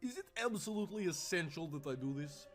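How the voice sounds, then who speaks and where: Guy doing a nervous polite soldier in a storybook, formal, hesitant, pitch jumping around. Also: expressive, fast talker, faint music behind, close to an everyday voice. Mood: pleading. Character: polite, uneasy, honorable Russian army lieutenant.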